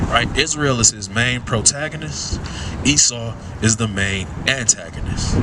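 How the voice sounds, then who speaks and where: A man preaching on the street to a passerby, lecturing and asking "right?" over and over. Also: mid-range voice. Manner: lecturing, asks "right?" again and again. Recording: street noise, mic at a distance.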